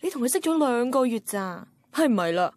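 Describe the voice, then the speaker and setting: An actress playing a chatty, self-doubting young single woman, confiding in expressive Hong Kong Cantonese in a radio drama. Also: fairly high pitch, a little fast, no echo. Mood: wistful, confiding. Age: young adult. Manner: confiding, expressive inner monologue. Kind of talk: radio drama narration and dialogue.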